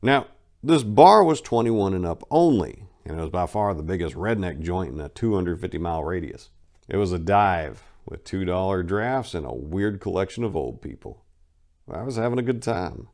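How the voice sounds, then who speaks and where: A man's voice: low voice, animated, normal speed, creaky, darkish tone. A man narrating his own memoir in a casual first-person reading, wry and blunt, with crude humor.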